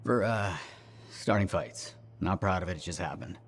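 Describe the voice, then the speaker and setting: An actor voicing an earnest, bookish scholar with a scrappy streak and an articulate, formal manner in a video game. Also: male voice, low-pitched, moderate pace. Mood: sheepish, defensive. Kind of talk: video game dialogue acting.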